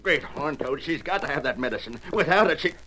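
Man playing a blustery high-pitched older rancher. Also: expressive, a little fast, noisy background, close to an everyday voice. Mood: shocked, worried. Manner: blustery, folksy western talk. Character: stubborn, blustering older rancher, a worried father. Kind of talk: old-time radio western acting.

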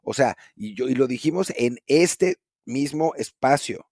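A man's voice: medium pitch, animated, a little slow, a little mellow, no echo. Casual Mexican man, dry close sound. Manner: casual, thinking aloud with frequent tag questions. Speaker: podcast co-host. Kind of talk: casual sports chat.

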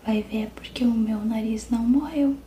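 A woman's voice: fairly high pitch, normal speed, warm tone, slight background noise, close to an everyday voice. A woman whispering the lines of a playful ghost clown who speaks as a floating nose in a storybook reading. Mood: wry.

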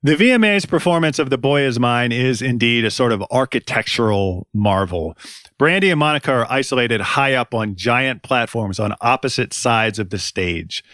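A man hosting a music podcast, reading scripted commentary in a dry, wry, deadpan way. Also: on the low side, dry recording. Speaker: podcast host.

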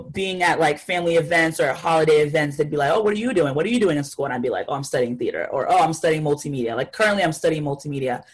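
A woman's voice: deep voice, breathy edge, poor audio. A young woman from Haiti, a guest artist talking casually and thoughtfully in an interview, with many fillers.

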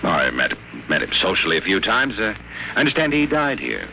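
An actor playing a bold detective posing as a patient, brisk, probing and wisecracking, in 1940s radio acting style. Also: male voice, sits low, huge pitch swings, creaky, pushed and bright, heavily acted. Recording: noisy old radio recording.

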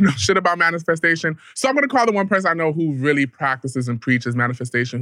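A man's voice: medium pitch, no echo, clean studio sound. A young man co-hosting a podcast, teasing his friend and steering the talk. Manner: teasing, lively, drives the topic. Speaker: podcast co-host. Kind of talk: casual banter.

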